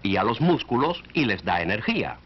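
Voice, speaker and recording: Male narrator for a 1940s health short: instructive, lively intonation, addresses the viewer directly, muffled old film sound. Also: on the low side, a little fast, a little hiss or hum. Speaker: narrator of an educational cartoon. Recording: dull, band-limited 1940s film soundtrack.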